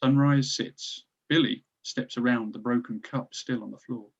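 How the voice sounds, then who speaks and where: Male narrator for a table read, scene directions, average pitch, slightly dull recording. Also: fairly even intonation, moderate pace, gravelly, breathy edge. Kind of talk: scripted narration of a screenplay.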